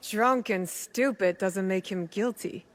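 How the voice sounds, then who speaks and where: A woman's voice: medium pitch, takes it slowly, lightly acted. An actress playing a determined, guarded young shinobi woman in a video game cutscene, speaking in a blunt, terse, resolute manner. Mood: irritated.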